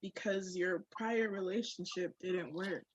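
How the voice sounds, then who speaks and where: A woman's voice: lowish voice, steady tone, unhurried, breathy edge, no echo, muffled recording. A woman chatting candidly and casually on a podcast, emphatic and slangy with punchy phrasing.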